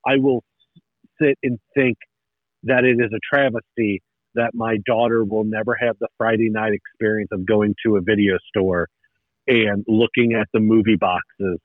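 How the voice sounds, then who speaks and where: A man's voice: fairly low pitch, little pitch movement, normal speed, dry recording. A man in his thirties chatting casually, rambling and nostalgic, often restarting his sentences. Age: 30s. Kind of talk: casual chat. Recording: tinny phone line.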